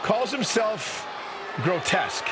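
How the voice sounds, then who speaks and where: High-pitched male wrestling commentator over crowd roar. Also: big pitch swings, slow pace. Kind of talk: dramatic sports commentary. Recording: loud crowd and arena noise.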